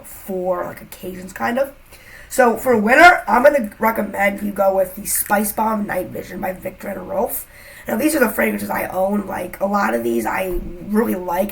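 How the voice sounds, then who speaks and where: A young woman with a slight cold talks casually to her camera, her voice a little stuffy. She rambles from thought to thought, leaning on "like" and "um", at an ordinary middle pitch. A faint noise sits under her voice. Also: gravelly, rough recording. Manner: rambling, filler-heavy, with lots of "like" and "um". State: has a cold, a bit congested. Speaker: YouTuber reviewing fragrances.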